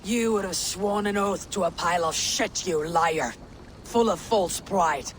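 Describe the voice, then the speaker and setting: Woman playing low-voiced, blunt Viking warrior woman. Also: measured pace, a little hiss or hum, natural voice. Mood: scornful. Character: proud, blunt female Viking warrior of the Raven clan. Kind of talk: video game cutscene acting.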